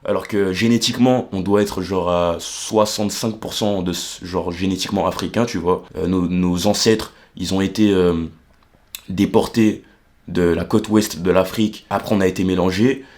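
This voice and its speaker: This is a young man with a somewhat low voice. He explains things like a vlogger talking straight to the viewer. His speech is casual and colloquial, and he keeps checking that you are following him. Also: steady tone, normal speed, darkish tone. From Martinique. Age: young adult.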